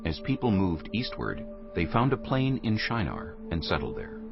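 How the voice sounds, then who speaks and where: A man narrating scripture from a script in a solemn, deliberate, measured way. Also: sits low, wildly swooping pitch, gravelly. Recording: dull audio with loud music behind.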